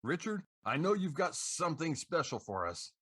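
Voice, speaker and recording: A man's voice: on the high side, sing-song, normal speed, a bit bright, husky, dry recording, clean studio sound. An American man hosting a podcast in a scripted radio-DJ style. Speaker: podcast host.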